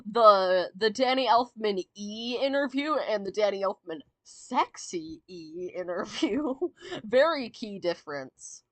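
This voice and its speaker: A woman giggling and rambling as she ranks songs, her voice slightly raspy and sore from talking. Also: mid-range voice, a bit bright, dry recording. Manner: giggly, rambling, many fillers and restarts. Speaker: VTuber streamer. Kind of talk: casual fan commentary, ranking songs.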